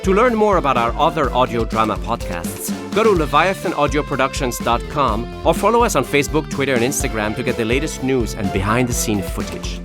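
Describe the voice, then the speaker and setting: A man delivering scripted narration and announcements for an audio drama. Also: mid-range voice.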